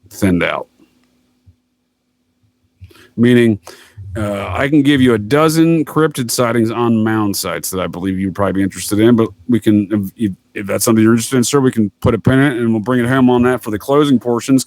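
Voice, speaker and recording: Man, podcast guest, assertive explainer, conversational, medium pitch, dry recording. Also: no echo. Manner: assertive, addresses listener as "sir". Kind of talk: conspiracy-theory talk in a podcast interview.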